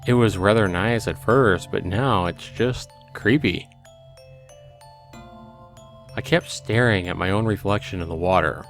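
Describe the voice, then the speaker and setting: A man's voice: fairly low pitch, a little slow, pauses often, fairly bright tone, soft background music. A man hosting a let's play, reading a visual novel aloud expressively with casual commentary.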